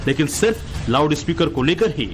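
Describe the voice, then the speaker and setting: A man's voice: on the high side, animated, fairly quick. A man reading a scripted Hindi news voice-over. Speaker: news narrator. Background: music.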